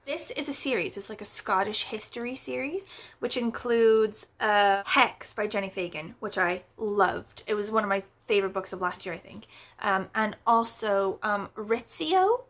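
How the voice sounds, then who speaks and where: Casual book vlogger, a woman with mid-range pitch; chatty, rambling, wry. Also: moderate pace, fairly bright tone. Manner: chatty, filler-heavy, rambling. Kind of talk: casual book review chat.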